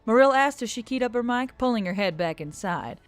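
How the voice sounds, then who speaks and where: A woman reading scripted fiction as an expressive audiobook narrator. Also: a little high, a little fast, no echo. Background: music.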